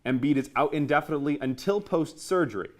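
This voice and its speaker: Man in his twenties, higher-pitched voice, sports talk host, opinionated, emphatic banter. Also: quick pace. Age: 20s. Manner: emphatic, opinionated, repeats key words for stress.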